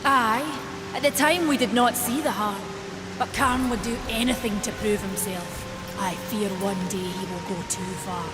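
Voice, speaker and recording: A woman's voice: medium pitch, loud music behind the voice, natural voice. Woman as a solemn Scottish maker, reverberant. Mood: regretful, concerned. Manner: measured, earnest. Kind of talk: dramatic video game cutscene acting. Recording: long hall reverb.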